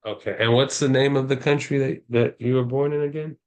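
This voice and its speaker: A man's voice: medium pitch, fairly even intonation, brisk, darkish tone. A man from Miami, a prospective client on a sales call, answering in short, easygoing replies. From Miami, Florida.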